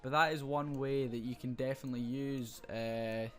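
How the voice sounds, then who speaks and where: A young man with a slightly hoarse voice, casually talking through a screen-recorded graphics tutorial with many fillers. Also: medium pitch, steady tone, moderate pace, fairly bright tone, faint music behind. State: slightly hoarse, losing his voice.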